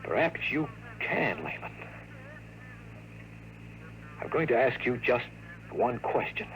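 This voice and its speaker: A man's voice: medium pitch, very animated, moderate pace, fairly bright tone. An actor playing a streetwise, impatient tough guy in 1950s radio drama, with clipped, sardonic, slangy talk. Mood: grimly curious. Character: tough, wry, streetwise hardboiled man, impatient. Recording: noisy old radio drama recording.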